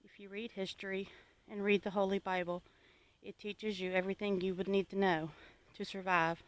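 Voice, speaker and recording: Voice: mid-range voice, flat delivery, normal speed. A homesteading vlogger from the United States giving an informal, reflective talk to viewers in an earnest, plainspoken, advisory manner.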